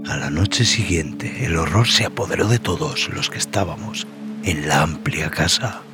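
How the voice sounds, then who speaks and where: A man narrates a horror story in a deep voice. He is grave and unhurried, his phrase endings often sinking into a breathy hush. Loud music and rain run behind him. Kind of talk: scripted horror narration in the first person.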